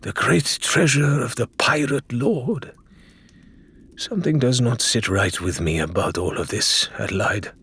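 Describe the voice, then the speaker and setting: A man's voice: medium pitch, a little slow, a bit bright, husky, full character voice. A man voicing an exuberant, good-humoured pirate in an audiobook, with florid, emphatic, lilting phrasing. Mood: wondering, uneasy. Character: exuberant, good-humoured, cautious male pirate who loves taverns. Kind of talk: audiobook character voice.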